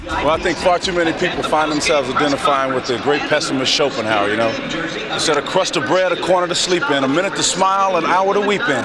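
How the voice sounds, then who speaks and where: A male football player reciting philosophy before a game at a stadium. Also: on the high side. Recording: stadium sideline with loud crowd.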